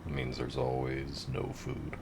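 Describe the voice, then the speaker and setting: A man with a very deep voice giving laid-back, rambling, profane commentary over his game. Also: completely flat delivery, unhurried, gravelly, noisy background. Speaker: gamer narrating his play. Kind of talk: casual gameplay commentary.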